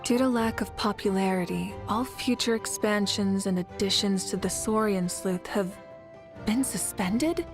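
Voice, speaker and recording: A young woman voicing a confident, warm warrior leader of fire in a video game, speaking in a poised, earnest, assured way, with music behind her. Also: mid-range voice, measured pace, lightly acted. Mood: disappointed. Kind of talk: video game character voice lines.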